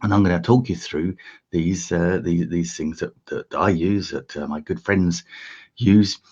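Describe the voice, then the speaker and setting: A deep-voiced man from the UK hosts a casual how-to live stream from a home setup. His voice is croaky and husky, and he rambles along with fillers and restarts. The desk-mic sound is slightly dull. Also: fairly even intonation, measured pace, darkish tone. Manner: chatty, filler-heavy, restarts often. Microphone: desk USB microphone.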